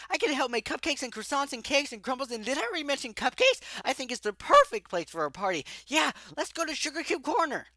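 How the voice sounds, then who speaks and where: A bouncy, party-mad young stallion pony, played by a woman, spilling out rapid, breathless lists of treats and games. The voice sits high and bright and leaps all over, recorded close and dry with no room sound. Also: no echo, full character voice. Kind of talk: fan audiobook voice acting.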